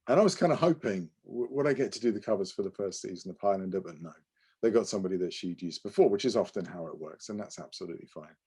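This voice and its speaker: A man's voice: low voice, animated, fast talker, mellow, slightly rough. A man co-hosting a podcast, telling anecdotes casually and often correcting himself in a hesitant, apologetic way. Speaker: podcast co-host.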